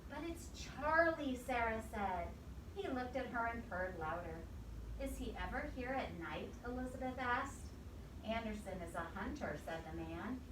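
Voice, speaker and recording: A woman with a highish voice reads a picture story aloud to young children. She is expressive and stops to put simple questions to them. The sound is echoey and picked up from a distance, with clear noise behind her.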